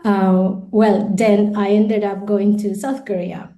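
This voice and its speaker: Reflective woman with a mellow voice. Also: mid-range voice, little pitch movement, takes it slowly, low-quality recording. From Colombia. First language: Spanish. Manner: thoughtful, many restarts and repeated words, light laughs. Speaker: guest, international educator. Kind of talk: conversational podcast interview.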